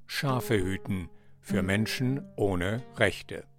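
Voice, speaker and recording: A man hosting a podcast, reading scripted narration in a measured, deliberate way. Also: lowish voice, soft background music.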